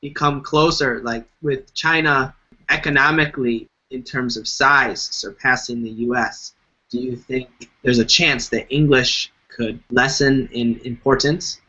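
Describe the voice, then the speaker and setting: Bright-voiced curious male interviewer. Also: medium pitch, steady tone, measured pace, dull audio. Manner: curious, inquisitive. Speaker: interviewer, show host. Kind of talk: interview questions.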